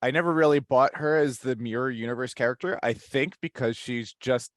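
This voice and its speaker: A man's voice: mid-range voice, fairly quick, no echo, studio quality. A man on a podcast panel, talking casually and bluntly as he retells and argues his points.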